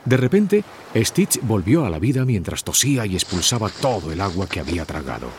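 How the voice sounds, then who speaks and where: A man's voice: lowish voice, very animated, brisk, a little hiss or hum. A man narrating a scripted children's audio story.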